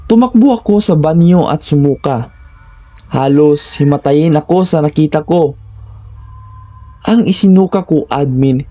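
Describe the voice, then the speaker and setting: Male narrator for scary first-person tales: slightly high voice, mellow tone, measured phrasing. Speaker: horror story narrator.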